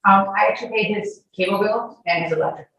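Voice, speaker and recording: A woman's voice: medium pitch, quick pace, muffled recording. A woman giving testimony as a witness, answering bluntly and defiantly. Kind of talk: courtroom testimony. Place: indoor courtroom. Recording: echoey courtroom, distant mic, background noise.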